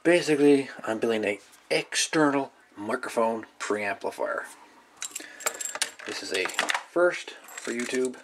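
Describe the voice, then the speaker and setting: A man giving a casual, folksy hands-on demonstration as a hobbyist, musing and pausing as he goes, recorded indoors at a workbench. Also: medium pitch, lively intonation. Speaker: hobbyist radio video maker. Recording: light background noise, clicks of handled parts.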